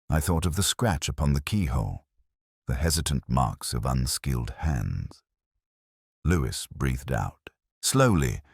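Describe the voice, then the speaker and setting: A man reading a scripted first-person detective story in a solemn, measured, literary way. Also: a bass voice, slightly rough, no echo, clean studio sound. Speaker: narrator.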